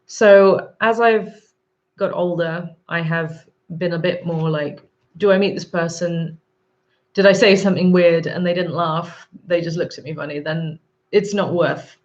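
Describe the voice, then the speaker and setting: A woman in her thirties with a sore throat and a husky voice, hosting a live stream, chatting casually and rambling with frequent ums. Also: lowish voice, lo-fi sound. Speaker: livestream host. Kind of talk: live chat reading viewer comments.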